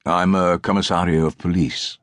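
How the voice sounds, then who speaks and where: A man's voice: deep voice, flat delivery, moderate pace, no room sound, clean studio sound, lightly acted. A man voicing a middle-aged police commissario who feigns illness, polite, wary and hesitant, in an audiobook. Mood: nervous, polite. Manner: hesitant, understated.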